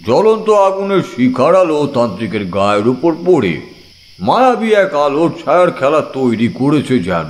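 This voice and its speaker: A man's voice: mid-range voice, very animated, moderate pace. A man giving a dramatic first-person narration of an audiobook story. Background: background music.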